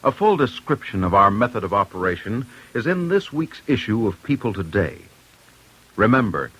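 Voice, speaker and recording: An American man hosting an old-time radio crime program, narrating from a script in a grave, deliberate way. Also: on the low side, fairly bright tone, breathy edge, low-quality recording. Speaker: radio host, investigator. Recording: old radio broadcast, faint music.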